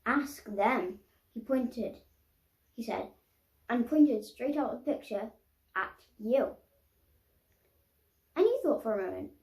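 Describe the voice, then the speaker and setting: A woman reads a children's storybook aloud in a fairly high voice, taking her time over each phrase. She is expressive and stops to explain the pictures. The recording has a roomy echo. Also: slow pace, gravelly, long pauses. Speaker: storybook reader. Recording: roomy, echoing sound.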